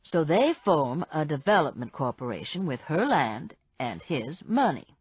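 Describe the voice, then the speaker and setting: A woman voicing a calm, knowledgeable businessman who explains patiently and matter-of-factly in an audiobook story. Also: low voice, breathy edge, dry recording, muffled recording, pitched below the performer's own voice, exaggerated voice. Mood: patient, assured. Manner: measured, matter-of-fact explaining.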